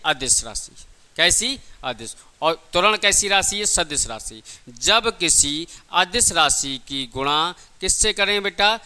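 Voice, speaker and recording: Bright-voiced male physics teacher, very forceful. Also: on the high side, smooth. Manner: didactic, repetitive, asks questions and answers them himself. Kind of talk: classroom exam-prep lesson with rhetorical questions.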